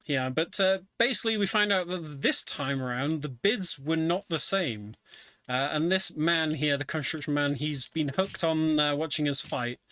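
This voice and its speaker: A man's voice: fairly high pitch, normal speed, bright, forceful tone. A man giving casual live speedrun commentary in a chatty, hesitant way. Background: video game music.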